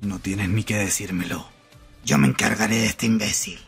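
A man's voice: on the low side, normal speed, gravelly, slightly rough, faint music behind, pitched below the performer's own voice, exaggerated voice. A man voicing a bitter young male anime villain who taunts coldly and mockingly. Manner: taunting, cold.

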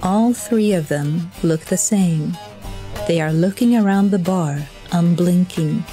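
A woman's voice: on the low side, slow pace, warm tone, faint music behind. A woman playing a chatty, bureaucratic angel messenger who narrates with dry, polite condescension. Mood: storytelling. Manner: politely condescending, dryly wry. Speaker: narrator and in-story host. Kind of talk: scripted narration, addressing listeners.

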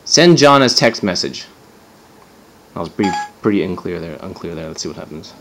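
A man's voice: sits low, sing-song, fairly quick, fairly bright tone, a little hiss or hum. A man giving a casual hands-on gadget demo as a reviewer, offhand and sardonic, swearing and sounding exasperated.

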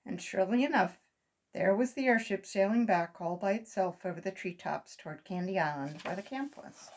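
A woman's voice: mid-range voice, moderate pace. An older woman reading a children's story aloud expressively. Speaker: volunteer children's story reader. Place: small room at home.

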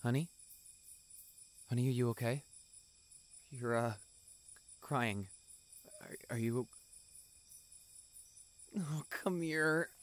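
Romantic roleplay lead: devoted young boyfriend male actor, soft, sincere, slow and tender. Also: mid-range voice, natural voice. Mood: tender concern. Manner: soft, tender, earnest, unhurried.

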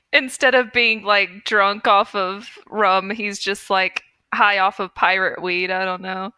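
Bright-voiced young woman chatting. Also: mid-range voice, fairly even intonation, slow pace, clean tone, no echo. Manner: informal, slangy. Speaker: podcast co-host. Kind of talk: casual chat.

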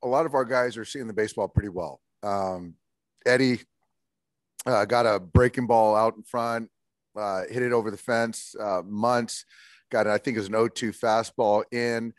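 A man in his forties, a baseball manager answering postgame press questions in a measured way, with many fillers and restarts, heard over a video call. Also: lowish voice, fairly even intonation, dry recording. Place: indoors in front of a logo backdrop.

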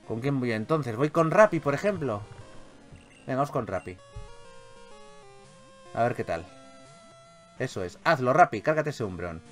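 Animated man from Spain, game commentary. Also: mid-range voice. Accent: Spanish from Spain. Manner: animated, swears freely. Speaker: gaming video host. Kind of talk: casual gameplay commentary.

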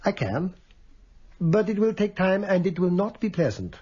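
Earnest period doctor in a radio play, high husky voice, formal n insistent, dull recording. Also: male voice, sing-song, normal speed, warm tone, full character voice. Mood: reassuring resolve. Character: Sigmund Freud, earnest, compassionate, authoritative Viennese doctor. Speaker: radio drama actor. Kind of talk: radio drama acting.

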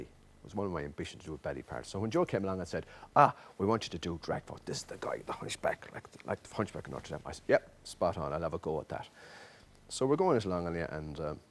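A man's voice: sits low, lively intonation, quick pace. An Irish man in his fifties telling stories in an interview as an amateur stage actor, acting out quotes as he goes. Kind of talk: interview reminiscence. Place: empty theatre auditorium, seated. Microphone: lapel mic.

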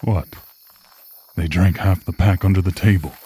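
A man playing a gruff pack member in an audio roleplay, teasing in a laid-back way. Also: low-pitched, steady tone, normal speed, dark tone.

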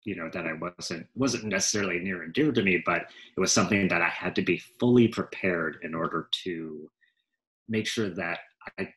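A man's voice: sits low, normal speed, a bit bright, poor audio. A man in his forties, a guest actor, chatting casually on a video call through a headset mic from a home room, often correcting himself mid-sentence. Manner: rambling, self-correcting, frequent asides. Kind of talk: casual interview.

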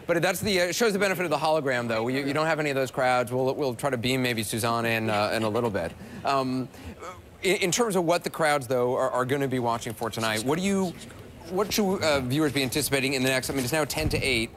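A man in his forties moderating a studio panel, asking questions in a quick, conversational way. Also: mid-range voice, fairly bright tone, slight background noise. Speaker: news anchor. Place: large television news studio.

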